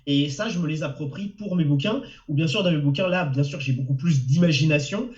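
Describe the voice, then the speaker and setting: A man's voice: on the high side, brisk, darkish tone, echoey room. A man from France with a cold, a novelist answering webinar questions with lots of hesitant fillers and restarts over a muffled video call. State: has a cold. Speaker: novelist guest.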